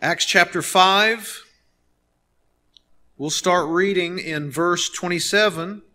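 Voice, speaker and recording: A man in his thirties preaching a sermon as a pastor, earnest and emphatic, leaning on pauses and repeated phrases. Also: high-pitched, sharp tone. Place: nearly empty church sanctuary, behind a pulpit.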